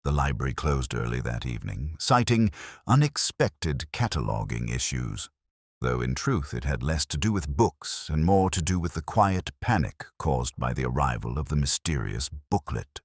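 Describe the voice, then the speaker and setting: A very low-pitched man narrates a mystery story in a measured, dryly understated way. His delivery is lively in pitch, and the recording is close and dry. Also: no room sound.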